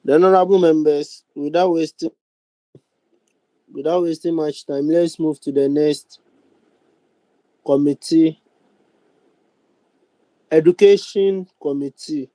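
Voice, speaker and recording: A young man with a Ghanaian accent chairs a formal online meeting, speaking deliberately with frequent pauses. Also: a little high, fairly even intonation, mellow, no echo, rough recording. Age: young adult. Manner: deliberate, halting, with many pauses. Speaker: speaker of a youth parliament. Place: online video call.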